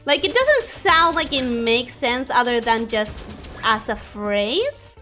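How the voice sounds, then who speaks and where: Young woman, Spanish first language, game streamer, chatty, very lively pitch, faint music behind. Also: slow. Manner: chatty, reacts to the game and reads chat aloud. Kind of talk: casual gameplay stream chat.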